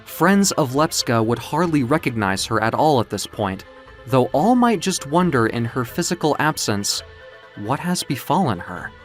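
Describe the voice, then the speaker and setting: A narrator telling a dramatic story in a measured, literary, theatrical way, as in an audio comic book. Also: mid-range voice, very animated, soft background music.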